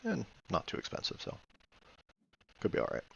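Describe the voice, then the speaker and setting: A man's voice: normal speed, highs cut off, a little hiss or hum. A man giving casual commentary on a board game replay, thinking aloud in a hesitant, matter-of-fact way. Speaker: board game player reviewing own replay.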